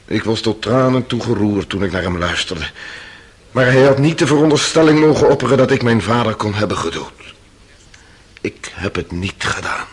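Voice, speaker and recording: A man's voice: fairly low pitch, lively intonation, normal speed, husky. An actor playing an anguished accused man who solemnly pleads his innocence to a jury in a radio play. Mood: earnest, agitated. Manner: solemn, pleading, emphatic declarations. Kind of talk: Dutch radio play acting.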